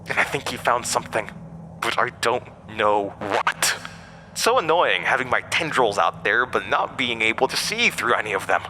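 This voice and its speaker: An ancient, scheming monster in a horror audio drama, with a low and piercingly bright, forceful voice. He is theatrical and insinuating, leaning on key words to tempt and scorn. Faint noise sits behind the voice.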